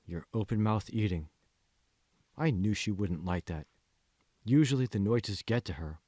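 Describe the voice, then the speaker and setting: A man voicing a smug, manipulative AI assistant in an audiobook, speaking slowly with cool, condescending control. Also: fairly low pitch, mellow, breathy edge, dry recording, studio quality. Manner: slow, condescending, coolly controlling. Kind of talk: audiobook character voice.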